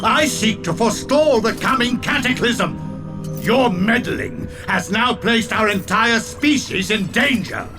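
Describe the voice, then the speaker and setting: Pompous, high-pitched fanatical villain. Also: male voice, a little slow. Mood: scornful. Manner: pompous, theatrical, archaic phrasing. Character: grandiose, fanatical male villain, knightly leader. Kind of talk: video game cartoon villain acting. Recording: music behind the voice. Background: music.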